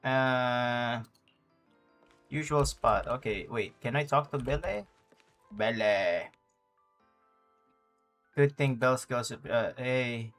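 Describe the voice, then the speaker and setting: A man's voice: mid-range voice, slow, long pauses, a bit bright, soft background music. A man livestreaming a game with casual, joking commentary full of slang, sometimes reading dialogue out. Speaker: streamer.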